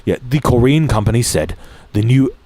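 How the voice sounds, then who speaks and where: A man streaming as he reads news articles aloud, with frequent restarts, throat clearing and dry offhand remarks. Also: mid-range voice, lively intonation, normal speed, dark tone. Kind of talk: reading articles aloud with asides.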